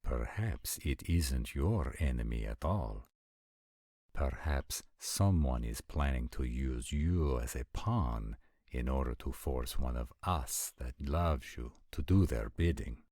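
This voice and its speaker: Male actor as protective ancient supernatural warrior for roleplay audio: extremely low voice, formal measured diction, dark tone. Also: lively intonation, no echo, studio quality, full character voice. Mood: concerned, probing. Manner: formal, measured, stilted diction with deliberate pauses. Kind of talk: scripted roleplay audio acting.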